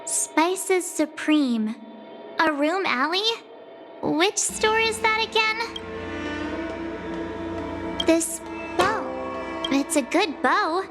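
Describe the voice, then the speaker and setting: A woman's voice: extremely high pitch, animated, extremely slow, pauses often, a bit bright, soft background music, full character voice. A woman voicing a young, curious, plain-spoken adventurer in a video game, thinking aloud in an inquisitive way. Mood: puzzled, playful.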